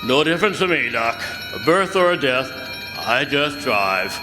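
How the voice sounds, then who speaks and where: A man's voice: medium pitch, big pitch swings, normal speed, music in the background, lightly acted. A man as a grumpy, swooping-voiced ambulance driver. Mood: weary, sour. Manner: gruff, slangy, blunt. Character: grumpy, cynical, impatient male ambulance driver. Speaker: stage radio drama actor. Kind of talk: live radio drama acting. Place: live performance in a campus hall. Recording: noisy, echoey hall.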